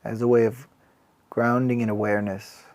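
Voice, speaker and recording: A man guiding a body scan meditation as a mindfulness teacher, calm and gentle, unhurried, leaving long pauses. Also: mid-range voice.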